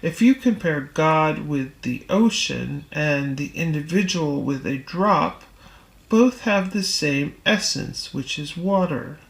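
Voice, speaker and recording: A woman reading a spiritual text aloud in audiobook style, with measured, deliberate phrasing and pauses. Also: low voice, animated, echoey room. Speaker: reader.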